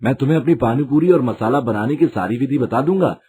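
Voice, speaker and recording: A man's voice: mid-range voice, quick pace, mellow, slight background noise, natural voice. A man playing an honest, simple village snack seller in a storybook tale, speaking plainly. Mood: friendly. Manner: earnest, plain-spoken. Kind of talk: storybook character dialogue.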